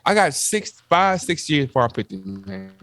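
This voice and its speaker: A man's voice: on the high side, sing-song, normal speed, fairly bright tone. A man in his forties co-hosting a podcast, rambling in casual chat and repeating himself when flustered. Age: 40s. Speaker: podcast co-host.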